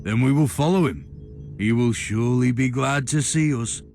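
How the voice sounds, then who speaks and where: An actor playing a stern, zealous imperial inquisitor in a video game, speaking in a formal, righteous, declamatory way. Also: male voice, mid-range voice, normal speed, vocal fry, close to an everyday voice. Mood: stern, measured. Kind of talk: video game cutscene dialogue. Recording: loud music behind the voice. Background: loud game music.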